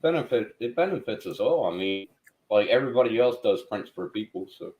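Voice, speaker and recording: A man hosting a casual livestream chat over a video call, easygoing and quick with short exclamations. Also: on the low side, lively intonation, lo-fi sound, a little hiss or hum. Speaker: host of a hobby livestream.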